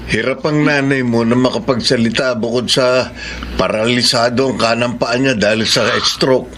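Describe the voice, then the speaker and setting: A man's voice: medium pitch, fairly even intonation, normal speed, lightly acted. A man playing a practical, weary village father who speaks firmly, in a Filipino radio drama. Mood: burdened, directing. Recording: noisy radio drama audio.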